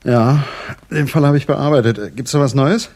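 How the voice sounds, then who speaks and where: An actor playing a laconic, world-weary private detective in a radio drama, speaking in a dry, sardonic manner. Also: male voice, medium pitch, normal speed, darkish tone, natural voice. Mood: dry, resigned. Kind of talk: radio drama acting and first-person narration.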